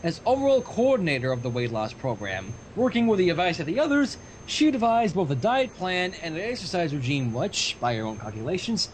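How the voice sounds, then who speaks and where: A man's voice: a little high, very animated, a little fast, few pauses, a little hiss or hum, poor audio. A man reading a story aloud on a livestream and breaking off for casual asides. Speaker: reader of fan fiction on a livestream.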